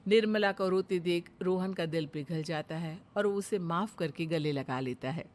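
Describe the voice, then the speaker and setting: A woman's voice: on the low side, normal speed, a little mellow, slight background noise. Woman narrating a cartoon moral story.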